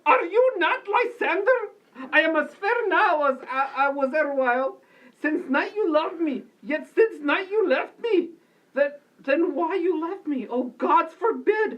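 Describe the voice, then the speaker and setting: Woman acting very high, plaintive young lover. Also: expressive, measured pace, a bit bright, far from the mic, full character voice, poor audio. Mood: pleading, wounded. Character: bewildered, wounded young woman in love, Shakespeare comedy. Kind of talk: amateur Shakespeare read-through.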